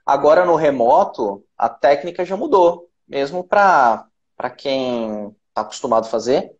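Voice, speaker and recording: A man in his thirties from Brazil, a voice actor guest on a video call, explaining things in a relaxed way with lots of repetition. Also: mid-range voice, unhurried, lo-fi sound. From Brazil, Campinas. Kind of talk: casual interview chat. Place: small room at home. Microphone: video call with earphone mic.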